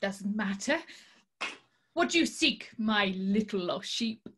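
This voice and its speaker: A woman's voice: medium pitch, expressive, slow pace, fairly bright tone, slight background noise. A woman acting an eccentric, grandiose tarot reader in a comic stage scene, with pompous mystical pronouncements. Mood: flustered.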